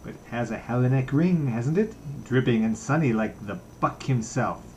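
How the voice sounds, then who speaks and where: A man's voice: medium pitch, animated, normal speed, a little mellow, a little hiss or hum, natural voice. A man reading a story aloud, voicing a brash, mocking, jovial young man in a theatrical, teasing way. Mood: gleeful. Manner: declaiming, mock-solemn, teasing.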